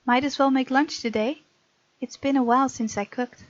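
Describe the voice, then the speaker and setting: A woman narrates a visual novel playthrough, slipping into casual asides. Her voice sits fairly high, and the home recording is dry and slightly muffled. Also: steady tone, moderate pace, dry recording. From Netherlands. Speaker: gamer reading a visual novel aloud and voicing the heroine.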